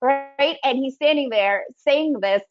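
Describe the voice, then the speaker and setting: A woman's voice: sits high, slow pace, dull audio. A middle-aged woman, originally from the Soviet Union and a native Russian speaker, presenting a trading webinar in a conversational way, often asking "right?". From Soviet Union, raised in the United States. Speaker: day trading educator. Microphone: video call.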